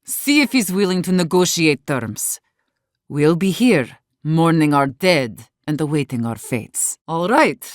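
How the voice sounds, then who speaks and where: A hard-bitten female warden leader for a video game. Her voice sits fairly low and moves at a slow, deliberate pace, recorded very dry. She is blunt and embittered, with a guarded, sharp-tongued way of speaking. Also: expressive, no echo. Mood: guarded, weary. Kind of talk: video game character dialogue.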